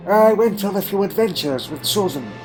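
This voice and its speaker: A haunted old alien wanderer, played by a man in an audio drama, with a notably high voice for a male. He recounts his past in a grave, deliberate manner, humming as he ponders, with music playing underneath. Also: expressive, rough recording. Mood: reflective. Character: elderly male alien time traveller, haunted and secretive. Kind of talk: fan audio drama acting.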